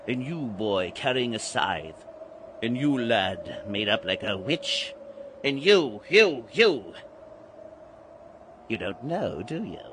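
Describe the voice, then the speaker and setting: An actor playing a theatrical, sinister old guide to Halloween in an audio drama, grandiose and teasing with dramatic pauses. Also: male voice, mid-range voice, sharp tone, slight background noise, full character voice. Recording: music behind the voice.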